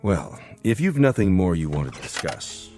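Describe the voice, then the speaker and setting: An actor playing a reasonable, measured male doctor in a radio drama, polite, tactful and probing. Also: deep voice, sing-song, music in the background.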